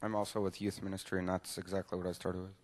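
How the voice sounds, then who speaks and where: Young male grad, very deep and flat, long reverb. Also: fast. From Colorado. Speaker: graduating student.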